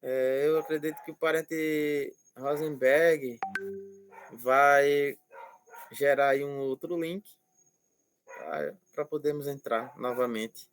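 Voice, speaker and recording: A male language teacher explaining slowly and patiently over a video call, repeating words and checking that students follow. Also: on the high side, steady tone, clear, resonant tone. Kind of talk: online class explanation.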